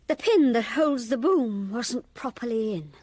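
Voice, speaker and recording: A woman's voice: on the high side, animated, measured pace, mellow. An actress playing a blunt, dry woman college lecturer in a radio drama, clipped and matter-of-fact. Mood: grim.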